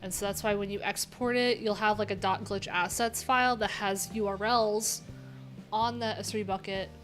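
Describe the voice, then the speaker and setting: A woman's voice: medium pitch, fairly even intonation, moderate pace. American woman, casual rambling streamer over music. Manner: rambling, wry, informal with swearing. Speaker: livestream host. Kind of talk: casual livestream chat while reading docs. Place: small cluttered room indoors. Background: background music.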